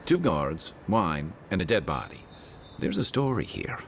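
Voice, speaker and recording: A man playing a gruff, sardonic, laid-back adventuring companion in a video game, with a gravelly voice. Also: sits low, huge pitch swings, a little slow, slight background noise, heavily acted. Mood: intrigued.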